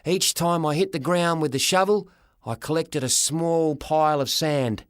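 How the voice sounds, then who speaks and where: A man narrating a first-person story for an audiobook, dramatic and expressive, in short measured phrases. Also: on the high side, clear, resonant tone, no room sound, studio quality.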